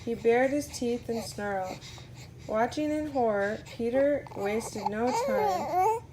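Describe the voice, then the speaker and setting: A woman's voice: on the high side, big pitch swings, slow. A woman at home at story time, unhurriedly reading a picture book aloud to a little one, with plenty of noise around her. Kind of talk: read-aloud story narration for a small child.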